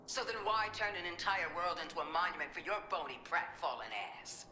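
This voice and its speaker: An actress playing a stern, contemptuous middle-aged government commander in a video game, curt and cold. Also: female voice, fairly low pitch, expressive, a little fast, pushed and bright, reverberant, a little hiss or hum. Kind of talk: video game drama acting.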